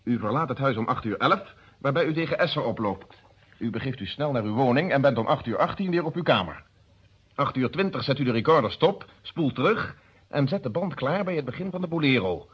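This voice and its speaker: An actor playing a stern, methodical police inspector in a radio drama, speaking in an insistent, precise and formal way. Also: male voice, medium pitch, expressive, brisk, breathy edge. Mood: confident, accusing. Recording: dull muffled old radio drama recording.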